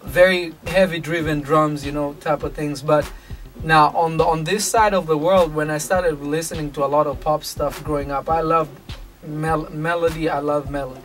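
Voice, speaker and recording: A man's voice: on the high side, fairly even intonation, normal speed, a bit bright. A man from Kenya, a music producer and songwriter, chatting casually as an interview guest and repeating phrases for emphasis. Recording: slightly distant mic, music behind.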